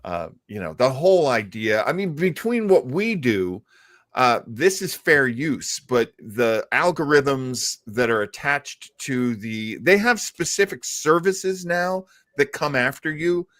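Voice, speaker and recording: A man's voice: medium pitch, very animated, slow, a bit bright, no echo, studio quality. A middle-aged man hosting a live show in casual, chatty conversation. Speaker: host of a live panel show. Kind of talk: casual chat.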